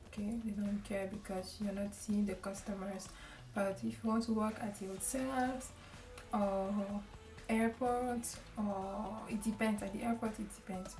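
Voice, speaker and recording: A young woman with a Cameroonian accent, giving casual advice to camera in a halting way, with lots of ums and restarts; she grew up speaking French. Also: medium pitch, darkish tone. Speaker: vlogger. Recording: echoey room, voice a bit far from the mic.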